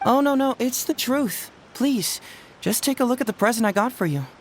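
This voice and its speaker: A woman's voice: fairly high pitch, expressive, normal speed, slight background noise, close to an everyday voice. Woman voicing a glib young detective boy. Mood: reassuring. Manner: glib, playful, breezy. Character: cocky clever young male detective. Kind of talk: game cutscene voice acting.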